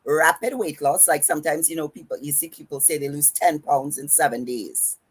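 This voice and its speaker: Trinidadian woman, low husky voice. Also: brisk. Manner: runs her words together, emphatic and instructive. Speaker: podcast host and fitness coach. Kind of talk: casual podcast advice.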